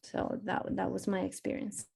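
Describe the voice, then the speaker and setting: A woman with a Spanish accent, telling a candid personal story on a panel in an earnest, halting way with many restarts. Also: medium pitch, monotone, gravelly, a little mellow, husky. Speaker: panelist, architecture author.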